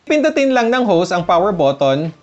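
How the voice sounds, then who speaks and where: A woman's voice: lowish voice, sing-song, moderate pace, darkish tone, lo-fi sound, soft background music. A Filipino woman vlogger narrating a casual step-by-step tutorial. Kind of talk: tutorial narration mixing casual and instructional talk.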